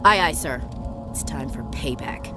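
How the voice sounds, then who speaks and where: An actress playing a tough, battle-worn young soldier in a sci-fi game, with clipped military delivery. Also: female voice, a bass voice, expressive, unhurried, loud music behind the voice. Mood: determined. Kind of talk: cinematic sci-fi game acting.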